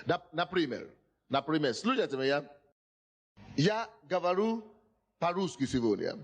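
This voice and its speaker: Lively high-voiced preacher exhorting a congregation. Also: male voice, unhurried. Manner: exhorting, repeats phrases, often asks the listeners if they hear him; slightly broken non-native phrasing. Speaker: pastor. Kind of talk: sermon. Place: in front of a congregation.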